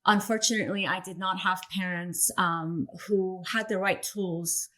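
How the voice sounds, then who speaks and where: A woman from Iran, a coach for parents of sensitive children, answering interview questions in a thoughtful way with many pauses and "um"s. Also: on the low side, steady tone, a bit bright.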